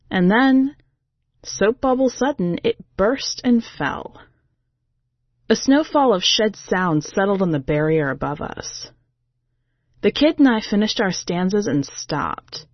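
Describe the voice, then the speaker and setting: A woman's voice: fairly low pitch, no room sound, muffled recording. A woman reading a first-person story as a podcast host, in a colloquial, streetwise way.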